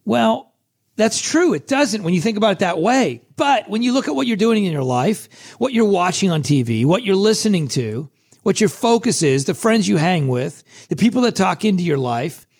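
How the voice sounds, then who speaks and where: A man hosting a motivational podcast, talking in a casual, chatty way with a hoarse, scratchy voice. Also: high voice, very animated, normal speed, darkish tone. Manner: casual and chatty, with many restarts. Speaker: podcast host.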